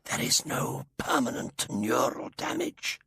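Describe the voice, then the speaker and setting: A man voicing a cold male alien lord with a slow, high, hissing delivery. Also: huge pitch swings, vocal fry, bright, forceful tone, husky, dry recording, full character voice.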